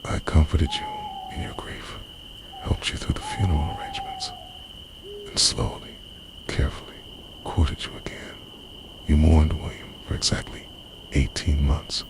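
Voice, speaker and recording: A man playing an obsessive, centuries-old lover in an intimate ASMR roleplay, half-whispering in a breathy, confessional way, tender and possessive. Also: extremely low pitch, huge pitch swings, a little slow, long pauses, full character voice. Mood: possessive, intense. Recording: noisy, owls and insects behind.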